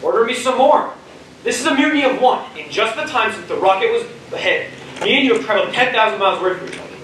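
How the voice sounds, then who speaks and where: A young male actor as a mean middle-aged astronaut, bitter and taunting in a high voice. The theatrical delivery rings through a very echoey space, picked up from far off. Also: lively intonation, fast talker, fairly bright tone. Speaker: student actor. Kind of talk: theatrical stage acting. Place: stage of a school theater. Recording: echoey stage, distant mic, faint noise.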